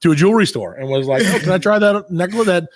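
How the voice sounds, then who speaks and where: A man's voice: sits high, fast talker, no room sound. A man co-hosting a comedy podcast in casual, slangy chat, telling stories and acting out what people said. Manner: animated slangy storytelling, acts out quoted remarks. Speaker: podcast co-host.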